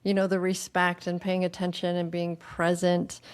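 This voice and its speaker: Soft-voiced woman hosting a podcast. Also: lowish voice, completely flat delivery, moderate pace, clean tone. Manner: reflective, searching for words. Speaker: podcast host. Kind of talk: conversational interview.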